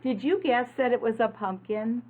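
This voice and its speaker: A woman's voice: on the high side, normal speed, narrow, tinny audio. A woman reading a picture book aloud like an early-childhood teacher, talking simply and instructively to young listeners and asking them questions.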